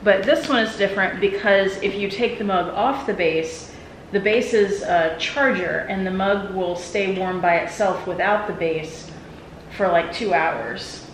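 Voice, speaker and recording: A woman's voice: medium pitch, unhurried. A woman hosting a home vlog, chatting casually as gifts are opened. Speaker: vlog host. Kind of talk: casual chat while opening gifts. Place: indoors at home, roomy space. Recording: roomy echo, noticeable background noise.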